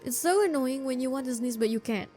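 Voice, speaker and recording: A woman gives casual reaction commentary as she plays a game. Her voice is on the high side, and she talks informally and spontaneously. Music runs behind her. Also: fast talker, dark tone. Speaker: game streamer.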